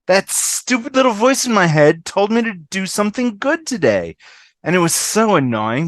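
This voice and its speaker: Someone playing blunt irreverent sitcom woman, slow but really animated, dry close sound. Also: medium pitch, no echo. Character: Eleanor, blunt, irreverent woman rallying her doomed friends. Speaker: amateur table-read actor. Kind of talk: fan table read of TV comedy script. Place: online video call.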